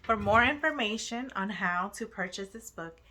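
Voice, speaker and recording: Woman, read-aloud host, children's storybook narration, bright tone, mid pitch, expressive deliberate phrasing.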